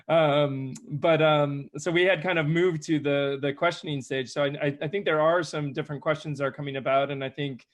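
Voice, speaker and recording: A man moderating a roundtable discussion over a video call, halting and restarting his sentences. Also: fairly high pitch, steady tone.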